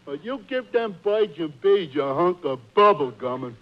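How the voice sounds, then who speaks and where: A high-pitched dim-witted New lug. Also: male voice, big pitch swings. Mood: stubborn. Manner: slow-witted, halting, uneducated grammar. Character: Finnegan, dim-witted stubborn male lug who dreads kissing. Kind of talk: 1940s radio comedy acting. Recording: muffled, hissy old radio broadcast.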